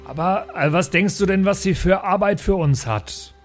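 Male role-play player, fairly high voice, loose improvised chat, music in the background. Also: normal speed. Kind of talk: casual improvised in-character chat.

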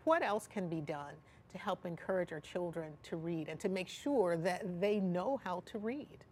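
A woman's voice: on the low side, normal speed, a little mellow, a little hiss or hum. A woman hosting a broadcast interview, speaking in an encouraging, affirming way. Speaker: talk show host.

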